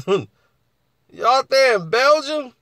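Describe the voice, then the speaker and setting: A man with a husky voice hosting a casual live chat, laughing often and answering viewers. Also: extremely high pitch, sing-song, drawn-out words, pushed and bright, no room sound, clean studio sound.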